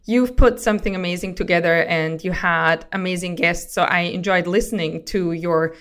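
A woman's voice: on the low side, fairly even intonation, normal speed, fairly bright tone. A woman from Austria, a founder being interviewed, who explains her work enthusiastically and laughs easily. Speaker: company founder, guest. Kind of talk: interview answers.

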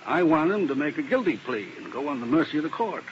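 A man's voice: on the high side, sing-song, fast talker. A fussy defense lawyer, rambling and wistful, his voice rising and falling a lot. The muffled old radio recording carries a faint hiss. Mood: rueful. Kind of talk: 1950s radio drama acting.

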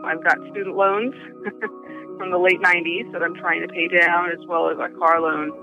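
A woman's voice: fairly low pitch, steady tone, moderate pace. A woman from San Diego giving an earnest, upbeat testimonial in an ad. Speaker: testimonial speaker. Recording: tinny phone-like line with music bed.

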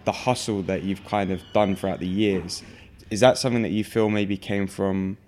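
A man's voice: low voice, fairly even intonation, normal speed, a little mellow. A man hosting an interview, asking long rambling questions full of restarts. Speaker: podcast host. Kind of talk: casual interview chat.

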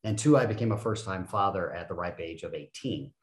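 A man in his fifties, a podcast guest, telling his story in a casual, animated and self-deprecating way with frequent laughs. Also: sits low, a little fast, echoey room. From United States. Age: 50s. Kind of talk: casual interview talk.

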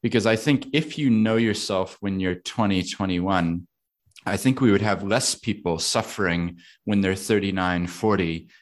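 Man, American accent, low voice, thoughtful, laughs easily, casual conversation. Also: normal speed. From United States. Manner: thoughtful, laughs often, asks himself questions aloud.

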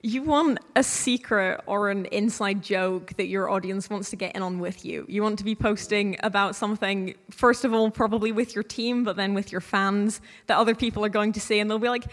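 A woman's voice: mid-range voice, steady tone, moderate pace, few pauses. Young woman in an echoey hall. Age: early 20s. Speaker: panel guest on an audio drama podcasting panel. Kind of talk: informal advice to a live panel audience. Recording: echoey hall with long reverb.

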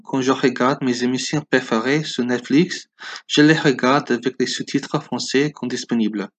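American man, twenties, speaking as a learner. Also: mid-range voice. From United States, Los Angeles. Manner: non-native phrasing with learner's errors. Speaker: podcast listener sending a voice message. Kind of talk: prepared personal message in learner's French.

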